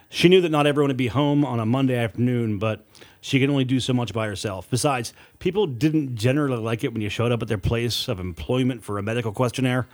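A man reading scripted horror fiction as an audiobook narrator, in a dramatic, deliberate storytelling manner with weighted pauses. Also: mid-range voice, a little mellow.

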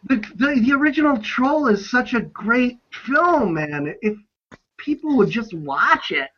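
A man's voice: very high-pitched, expressive, unhurried, a bit bright, muffled recording. A man talking casually on a film panel, rambling with a fan's passionate enthusiasm. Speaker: podcast panelist on films.

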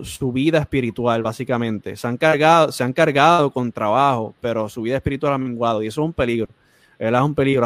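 A man's voice: medium pitch, no room sound. Preachy Spanish-speaking man host. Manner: preachy, repeats phrases for emphasis.

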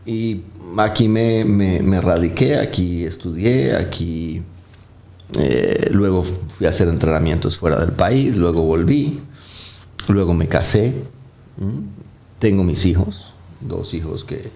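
A man's voice: sits low, slow, gravelly, dark tone. A Colombian doctor with a slight accent, talking through his career as an interview guest with many fillers and restarts. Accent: Colombian, softened by years in the Dominican Republic. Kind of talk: casual interview talk.

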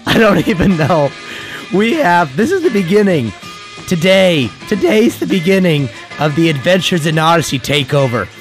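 A hoarse man opens his podcast in a loose, chatty way, laughing as he goes. His voice sits fairly high. Music plays in the background. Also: very animated, normal speed. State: hoarse from illness. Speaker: podcast host. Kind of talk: casual show intro.

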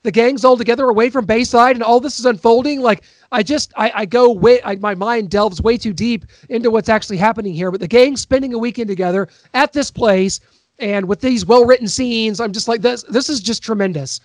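Male co-host, high voice, dry close mic, enthusiastic recap. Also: brisk, dry recording. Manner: enthusiastic, recounts plot in detail. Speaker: podcast co-host. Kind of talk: casual recap chat.

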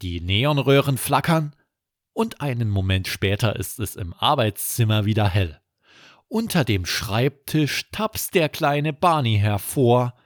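Slow, expressive male bedtime storyteller. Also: medium pitch, dry recording, studio quality. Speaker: children's story narrator and podcast host. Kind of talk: scripted bedtime story narration.

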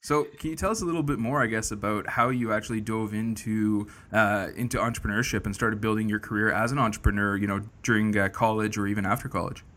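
A man's voice: lowish voice, fairly even intonation, quick pace, few pauses, a bit bright. A man co-hosting a podcast, reading the intro and asking upbeat, encouraging questions. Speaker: podcast co-host. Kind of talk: scripted intro and interview questions.

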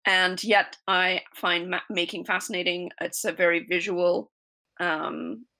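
A woman's voice: on the low side, fairly even intonation, unhurried, vocal fry, sharp tone. A woman teacher from South Africa, a guest answering interview questions earnestly with a lot of "you know". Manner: earnest, explanatory, many "you know" fillers.

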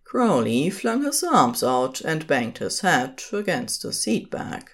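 A woman with a very low voice narrates a story from a script. Her delivery is slow and measured, with lively movement in the pitch. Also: slightly rough.

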